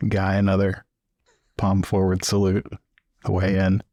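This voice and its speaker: A man's voice: low-pitched, completely flat delivery, unhurried, warm tone, no room sound, studio quality. A man joking casually as a player in a tabletop game.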